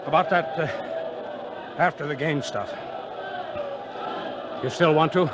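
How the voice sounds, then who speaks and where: An actor playing an earnest baseball pitcher with a halting, stammering delivery in an old-time radio drama. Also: male voice, natural voice. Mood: eager, awkward. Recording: vintage radio, noisy roaring crowd.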